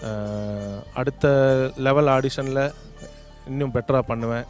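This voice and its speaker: A man from Coimbatore with a Tamil Nadu accent introduces himself informally as a singing contestant, speaking in a halting way with pauses. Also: mid-range voice. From Coimbatore, Tamil Nadu, India. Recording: music playing behind the voice.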